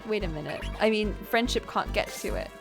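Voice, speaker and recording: A woman's voice: medium pitch, animated, brisk, vocal fry. A woman streaming a video game, playfully reading dialogue aloud and exclaiming in a theatrical way. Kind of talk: live game playthrough with dramatic reading of on-screen dialogue. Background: video game music.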